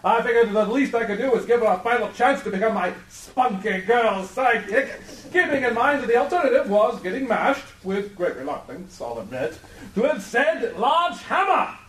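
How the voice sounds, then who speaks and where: A man's voice: extremely high pitch, animated, moderate pace. A man playing a vain, deluded adventurer hero in a live comedic radio play, pompous and theatrical. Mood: boastful. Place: live convention stage. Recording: echoey live stage, distant mic.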